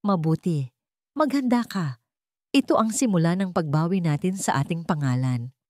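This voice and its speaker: A woman's voice: fairly low pitch, animated, normal speed, dark tone, dry recording, studio quality, close to an everyday voice. A woman voicing a proud, remorseful old tycoon father in a story narration, hopeful and determined.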